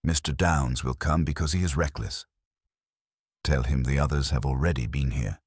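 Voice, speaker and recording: A man's voice: extremely low pitch, normal speed, husky, dry recording, clean studio sound. A man playing a stern, calculating older detective with a heavy voice and formal, commanding delivery in a mystery story. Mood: grave, commanding. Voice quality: heavy, weighty. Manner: formal, flat, commanding pronouncements. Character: stern, calculating older male detective genius, imperious and unhurried.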